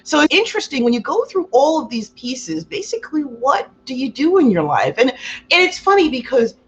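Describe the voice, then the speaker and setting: A woman with a loud, carrying voice giving a rambling, casual self-help talk as a Reiki practitioner, acting out quotes with big exaggerated jumps in pitch. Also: sing-song, brisk, highs cut off. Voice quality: loud voice that carries. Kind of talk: casual motivational talk to viewers.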